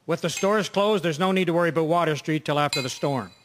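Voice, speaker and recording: A man's voice: fairly high pitch, fast talker, a bit bright, a little hiss or hum, natural voice. A man playing a matter-of-fact municipal garage foreman directing snowplows in a radio drama. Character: male municipal garage foreman managing plows.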